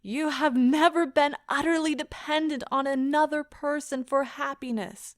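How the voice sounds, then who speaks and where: A woman playing an anguished, pleading lover in a stage-style audio drama, with a theatrical, impassioned and deliberate delivery. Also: high voice, steady tone, no room sound, clean studio sound, lightly acted. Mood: bitter, aggrieved. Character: anguished, pleading woman leaving a broken love affair.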